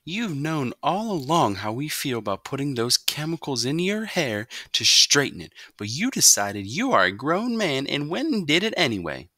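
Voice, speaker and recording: A man's voice: a little high, very animated, moderate pace, few pauses, sharp tone, no room sound, studio quality, voice pushed up, exaggerated voice. A man voicing a stern, exasperated mother scolding her son in a storybook reading.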